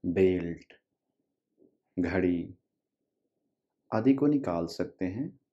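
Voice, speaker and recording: A man guiding a relaxation meditation as a yoga instructor, giving short instructions with long pauses, in a dull recording. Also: deep voice, lively intonation, dark tone.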